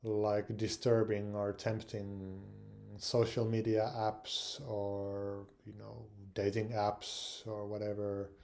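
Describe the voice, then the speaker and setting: Hesitant slow-talking man. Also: fairly low pitch, completely flat delivery, darkish tone. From Finland. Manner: hesitant, many fillers and repeated words. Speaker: language learning channel host. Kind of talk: casual spoken advice.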